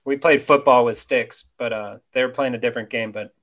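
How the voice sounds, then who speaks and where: A man in his forties hosts a casual interview from his home study, speaking over a webcam call. He is friendly and upbeat, and he throws in quick quips. His voice sits at a middling pitch. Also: steady tone, crowd noise. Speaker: podcast host. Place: home study with bookshelves, indoors. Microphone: webcam on a video call.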